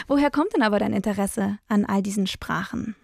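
A woman's voice: medium pitch, normal speed, vocal fry, no room sound, clean studio sound. A woman, hosting a music radio show and interviewing a guest in a conversational way.

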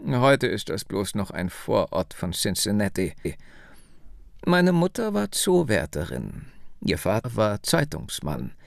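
A man voicing a hundred-year-old woman in an audiobook, wry, insistent and reflective, speaking deliberately and with emphasis. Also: mid-range voice, creaky, dry recording, studio quality, heavily acted.

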